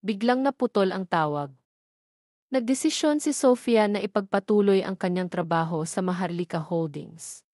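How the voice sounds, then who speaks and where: A woman with a Filipino accent reading a romance story aloud as a steady, scripted narration. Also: medium pitch, moderate pace, dry recording, clean studio sound. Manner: steady storytelling read, voicing all characters lightly.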